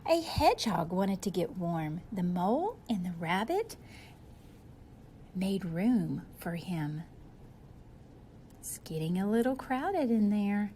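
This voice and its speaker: A woman reads a storybook to young children at a slow, measured pace. Her delivery is expressive and teacherly, speaking directly to her little listeners. There is some light noise behind her voice. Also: medium pitch. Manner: expressive, addressing young listeners with simple questions and asides. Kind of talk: children's picture-book read-aloud.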